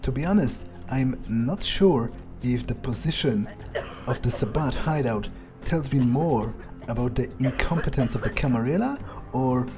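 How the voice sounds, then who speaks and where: A man's voice: mid-range voice, measured pace, dark tone. A man doing an in-character game playthrough, musing aloud with plenty of swearing and hesitant phrasing. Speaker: gamer playing in character. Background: game music.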